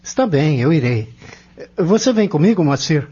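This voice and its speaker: Courteous young Brazilian, higher-pitched, swooping intonation, lo-fi radio-play audio. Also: male voice, very animated, normal speed, mellow, husky. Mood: curious. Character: Beto, polite young man. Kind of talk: Brazilian radio drama acting. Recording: dull lo-fi audio.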